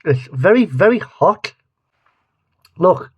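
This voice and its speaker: A man's voice: sits high, big pitch swings, slow pace, husky, no echo, highs cut off. A man with a lisp giving a rambling, joking taste-test review, often restarting his sentences. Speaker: vlogger reviewing mustards. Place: home studio.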